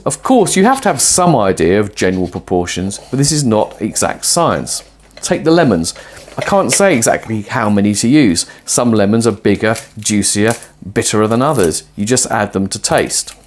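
A man in his forties talking to camera while cooking in a small home kitchen, conversational and musing, with lively emphasis. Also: fairly low pitch, normal speed, faint music behind. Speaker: presenter of a cooking and philosophy video. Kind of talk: casual explanatory talk to camera while cooking. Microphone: lapel mic.

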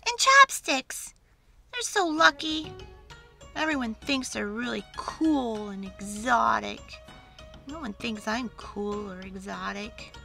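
A woman's voice: fairly high pitch, expressive, takes it slowly, creaky, pushed and bright, faint music behind, pitched above the performer's own voice, full character voice. A woman voicing a shy, self-doubting little boy spoon in a storybook, hesitant and whiny. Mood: glum, envious.

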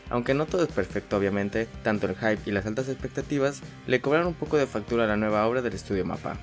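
A man giving a casual anime review as a voice-over, chatty and full of fillers. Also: mid-range voice. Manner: chatty, frequent filler "pues", restarts. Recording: voice-over with faint music behind.

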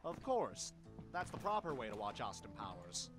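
A man's voice: lowish voice, big pitch swings, normal speed, over music. A man voicing a small, whiny slacker animal in his twenties, slangy and complaining, for a cartoon adventure game. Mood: sluggish, doubtful. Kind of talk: voiced game dialogue.

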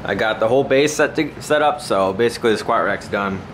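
Casual male vlogger, fairly deep voice, hesitant off-the-cuff delivery, recorded on a handheld camera with noticeable hum. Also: a little fast. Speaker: home gym vlogger. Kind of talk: casual unscripted vlog narration. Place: concrete basement home gym, indoors. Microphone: handheld camera mic, operated by speaker.

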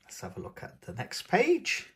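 A man's voice: high-pitched, huge pitch swings, normal speed, slightly rough. A man reading a picture book aloud to toddlers in a sing-song, coaxing tone as host of his own channel. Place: indoors at a tabletop, hands showing a book.

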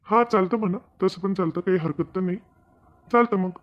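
A man's voice: high voice, a little fast, close to an everyday voice. A man voicing an easygoing village husband in a comic cartoon, with a rural Maharashtrian Marathi accent and homely, questioning talk. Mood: easygoing, teasing. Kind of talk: animated comedy dialogue. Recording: dull, lo-fi audio.

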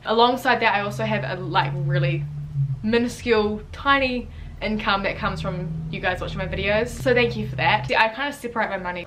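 A young woman with a New Zealand accent talks quickly and casually straight to the camera. Her delivery is chatty and loose, full of little fillers. She sits in a small bedroom with a faint hum of room ambience behind her. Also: medium pitch. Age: young adult. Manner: chatty, fast, lots of "like" fillers, gestures with hands. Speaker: vlogger, university student. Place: bedroom, indoors. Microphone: camera in front at chest distance.

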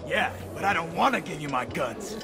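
A man's voice: a little high, expressive, normal speed, creaky, over music. A man playing a whiny, stubborn thug in a video game, sulking like a child. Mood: whiny, pleading. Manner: whining, sulky.

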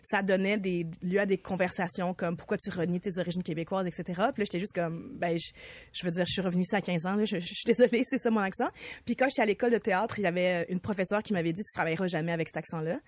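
A woman from Quebec, an animated radio guest who talks with enthusiasm and drops in English phrases. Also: lowish voice, rapid-fire, no echo. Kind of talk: casual radio interview.

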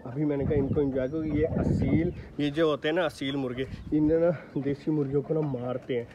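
Young male vlogger, fairly high voice, chatty, loud calls to his birds, outdoor phone recording with clear noise behind. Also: steady tone, a little fast, lo-fi sound. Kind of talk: casual selfie vlog. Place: rooftop poultry yard outdoors, light rain. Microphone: phone held at arm's length.

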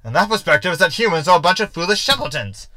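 A man's voice: sits high, big pitch swings, fast, bright, forceful tone, no echo, heavily acted. A man playing a deranged ship's hologram in a sci-fi comedy audio drama, theatrical, pompous and scornful, dropping mock Latin. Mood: scornful, self-pitying. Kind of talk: science fiction comedy audio drama acting.

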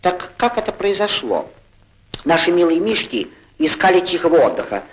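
Male cartoon sports commentator, excitable, chatty, good-humoured, very animated intonation, theatrical play-by-play, noisy 1940s film sound. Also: high-pitched, normal speed. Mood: chatty, genial. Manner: chatty, theatrical, exclaiming, correcting himself.

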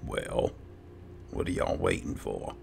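A man's voice: slow pace, slight background noise. A man giving casual roleplay commentary as a gaming video host, close on the microphone.

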